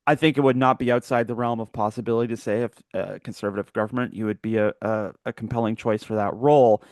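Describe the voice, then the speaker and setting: A man's voice: fairly low pitch, steady tone, quick pace, a little mellow, no echo, studio quality. A man hosting a casual political interview. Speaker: interview host.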